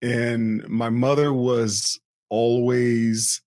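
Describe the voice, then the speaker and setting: A man's voice: medium pitch, little pitch movement, extremely slow, darkish tone. A man telling stories from his childhood as a podcast guest, laughing often and poking fun at himself. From US East Coast (New Jersey, Virginia). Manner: laughs often, self-deprecating storytelling. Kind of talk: casual interview storytelling.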